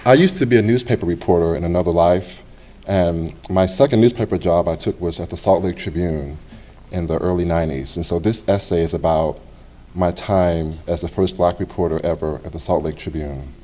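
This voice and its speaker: A middle-aged man reads his own essay to a library audience, his voice low and mellow. He takes it at a measured, expressive pace and lets pauses sit between phrases. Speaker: author reading his essay. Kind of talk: public reading of a personal essay. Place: public library reading event.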